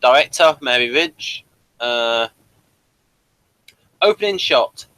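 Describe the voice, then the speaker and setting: A man's voice: medium pitch, expressive, slow pace, bright, forceful tone, smooth, dry recording. A man hosting a casual review of a TV series, reading from notes with dry, playful asides.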